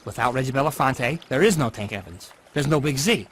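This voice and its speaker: A man's voice: mid-range voice, animated, fairly quick, a bit bright, slight background noise, natural voice. An actor playing a pushy, self-promoting male otter surf promoter in a game. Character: boastful fast-talking male otter promoter.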